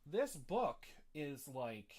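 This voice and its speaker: A man's voice: fairly high pitch, big pitch swings, drawn-out words, a little mellow. A man hosting a casual live show, rambling with many fillers and restarts, sniffly with a slight cough. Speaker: host.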